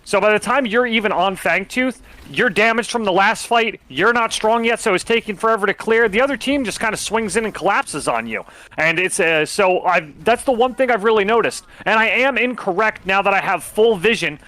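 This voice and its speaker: A man commentating an esports match, explaining plays in a relaxed, casual way. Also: high voice, brisk, rough recording.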